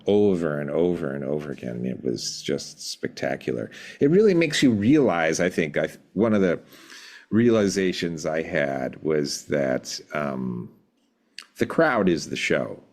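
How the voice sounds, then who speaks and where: A middle-aged man reflecting in an interview, thoughtful and measured, pausing to find his words. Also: a bass voice. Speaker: podcast guest, rock band drummer.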